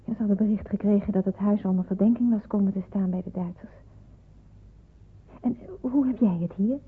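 An actress playing an earnest, devout young woman in wartime hiding in a radio drama, with a husky, breathy voice. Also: medium pitch, a little fast. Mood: polite, hesitant. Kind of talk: radio drama acting with narrated monologue. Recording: muffled, phone-like radio play recording.

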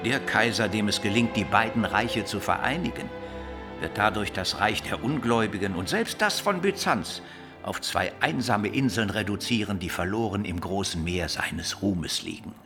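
An actor playing a learned older bishop who counsels an emperor in a radio drama, didactic, measured and rhetorical. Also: male voice, lowish voice, over music, natural voice. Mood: solemn storytelling. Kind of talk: German radio play acting.

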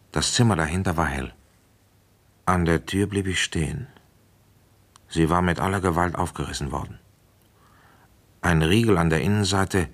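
Deep low police inspector guy, german radio crime play, dry, tired, matter of fact, in charge. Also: a bit bright. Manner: measured, matter-of-fact first-person narrating and firm questioning. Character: weary male police inspector, dry and commanding.

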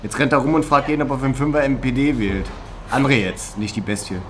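An actor playing a pushy, suspicious young small-time dealer with coarse slang, in naturalistic radio drama. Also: male voice, medium pitch, fairly even intonation, quick pace, a little hiss or hum, close to an everyday voice. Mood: boisterous, gossipy. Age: young adult.

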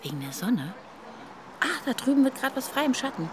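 Wry, lively level-headed woman. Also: high voice, a little fast, background noise. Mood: chatty, reflective. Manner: conversational, wry, teasing. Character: pregnant woman, level-headed, teasing her grumbling partner. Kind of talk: naturalistic radio drama dialogue.

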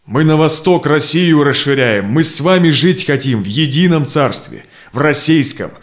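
An actor playing a steadfast, conciliatory Cossack envoy in a verse audio drama, speaking in measured, persuasive declamation. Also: male voice, on the high side, expressive, close to an everyday voice. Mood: sincere, firm. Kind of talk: theatrical verse drama acting.

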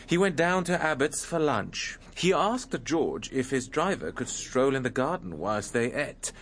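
An actor playing a lively, composed man who recounts a story in a radio drama. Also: medium pitch, a little slow, slight background noise. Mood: reflective storytelling. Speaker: narrator and character. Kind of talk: dramatic radio narration and dialogue.

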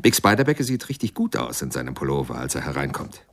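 Hard-boiled radio play narrator, extremely deep voice, swooping intonation, terse, deliberate. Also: male voice, very animated, exaggerated voice. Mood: dry, commanding. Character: laconic, hard-boiled male narrator of a jazz-age tale.

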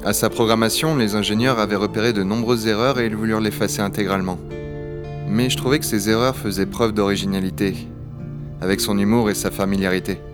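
A low-pitched, rugged hunter from a frozen future, acted by a man for an audio drama. He speaks with a wry, reflective, down-to-earth manner. Music plays clearly behind him. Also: steady tone, fairly quick, natural voice. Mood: wry, fond. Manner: gravely reflective narration, familiar offhand banter. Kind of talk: French audio-fiction acting and first-person narration.

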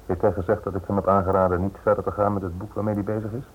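A man playing a courteous Scotland Yard police inspector in a radio play, measured and politely firm as he warns. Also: deep voice, flat delivery, lightly acted. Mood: firm warning. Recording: tinny, noisy old radio play recording.

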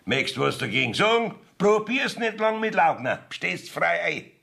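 Gravelly, blustering Bavarian village judge. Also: male voice, sits high, big pitch swings, normal speed, sharp tone, full character voice. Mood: stern, badgering. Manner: gruff, interrupting, evasive, swinging from wheedling to barking. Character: blustering, shifty village judge in rustic comedy. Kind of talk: German dialect radio play acting.

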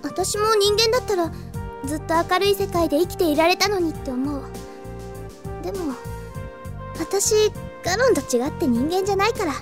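A woman's voice: very high-pitched, lively intonation, normal speed, music in the background. A woman voicing a kind, naive young catgirl in a Japanese anime-style audio drama, with a cutesy girlish voice. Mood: wistful. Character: cheerful, kind young catgirl of the dark clan.

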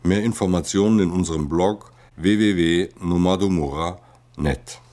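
Low, mellow man reading formally. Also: normal speed. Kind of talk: scripted reading of an appeal.

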